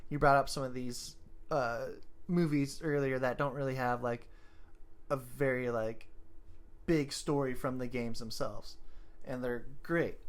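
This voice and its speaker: Mid-pitched man in casual podcast chat. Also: fairly even intonation, a little slow. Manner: agreeable, often chiming in with short affirmations. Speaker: podcast co-host. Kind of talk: casual film discussion chat.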